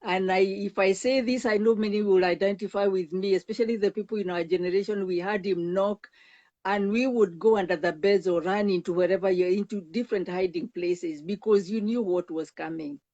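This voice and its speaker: An older Kenyan woman speaks as a guest counselor, earnest and emphatic, stumbling and repeating words as she presses her point. Her voice sits at a middle pitch. The sound is dull and lo-fi. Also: steady tone, brisk, warm tone. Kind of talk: earnest personal testimony.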